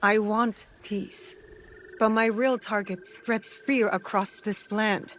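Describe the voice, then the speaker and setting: A woman's voice: medium pitch, steady tone, slow, fairly bright tone, a little hiss or hum. An actress playing a determined, guarded young shinobi woman in a video game cutscene, speaking in a blunt, terse, resolute manner.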